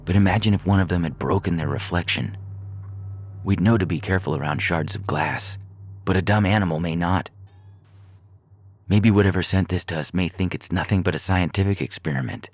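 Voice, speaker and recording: A man's voice: sits low, brisk, pitched above the performer's own voice. A man reading the part of an alarmed, cautious male friend arguing in a science fiction story, with urgent, emphatic warnings. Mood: urgent, cautionary.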